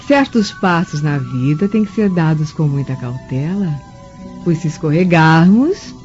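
Low, mellow scheming Roman mother. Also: animated, faint music behind. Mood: cautious. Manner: deliberate, theatrical. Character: scheming Roman mother, cautious and coolly commanding. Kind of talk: dramatic radio play acting. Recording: muffled radio drama recording.

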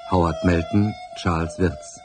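A man's voice: deep voice, fairly even intonation, unhurried. A man reading the scripted closing credits of a radio drama as announcer. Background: music with flute.

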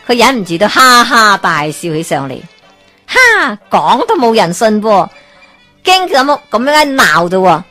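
A woman with a Hong Kong Cantonese accent narrating a folk tale as a radio storyteller, lively and expressive. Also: mid-range voice. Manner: lively, expressive, chuckling asides.

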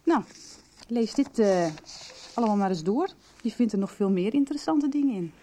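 A woman's voice: mid-range voice, animated, moderate pace, warm tone, slight background noise, lightly acted. A woman playing a helpful woman in a Dutch radio soap. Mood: kindly, businesslike. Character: helpful woman handing out research documents. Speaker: radio drama actor.

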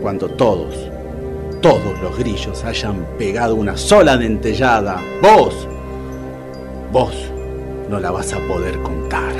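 A man's voice: lowish voice, lively intonation, music in the background. An actor playing a gloomy, self-pitying Argentine man who mocks and menaces theatrically in a comic audio drama, with a Rioplatense accent. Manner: dramatic, teasing, drawn-out menace.

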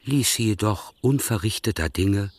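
A composed radio drama narrator with a husky, lowish voice. The delivery is measured and literary, detached and matter-of-fact, in a very dry studio sound. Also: male voice, animated, no room sound. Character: detached, composed male storyteller. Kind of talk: scripted radio play narration.

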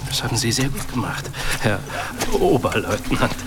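A man acting as a calm, shrewd, cryptic Iroquois army scout in a war radio play, speaking deliberately and often hushed. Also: medium pitch, close to an everyday voice. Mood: wry, confident. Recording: loud music under the voice. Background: loud music.

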